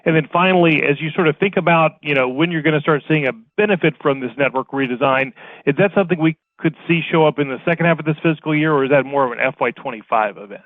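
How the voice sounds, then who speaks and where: Higher-pitched male analyst on phone. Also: quick pace, no room sound. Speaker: financial analyst. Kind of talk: asking a question on an earnings call. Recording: narrow, dry phone line.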